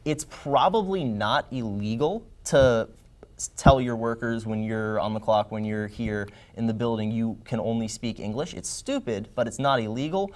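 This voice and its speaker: A young man on a TV panel breaking down the news in a measured, analytical way. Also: medium pitch, a little mellow. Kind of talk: casual panel discussion.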